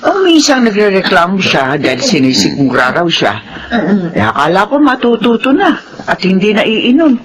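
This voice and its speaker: A woman's voice: medium pitch, huge pitch swings, normal speed, a crowd in the background. A woman playing a matter-of-fact, grumbling older household helper in a Philippine radio drama. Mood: grumbling, resigned. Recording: clear background noise.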